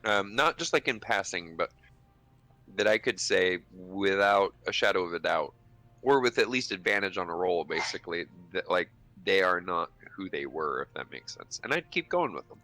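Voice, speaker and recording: A man's voice: low-pitched, animated, moderate pace, sharp tone, poor audio. A man talking casually as a player in an improvised tabletop roleplaying game.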